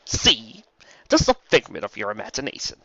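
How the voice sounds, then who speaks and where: A man's voice: fairly low pitch, huge pitch swings, normal speed, gravelly, pushed and bright, no echo, heavily acted. A mocking serpentine spirit of chaos, acted out by a man, swoops theatrically through drawling sarcasm in a storybook reading. The sound is dry and a little dull. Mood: mocking, amused.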